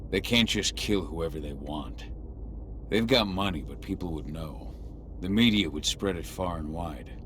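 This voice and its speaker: Low-voiced, threatening vigilante hero. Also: male voice, animated, unhurried, fairly bright tone, a little hiss or hum. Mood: indignant. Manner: blunt, commanding, intimidating. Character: gruff, threatening male vigilante hero, fiercely protective. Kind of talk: video game cutscene acting. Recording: music behind the voice.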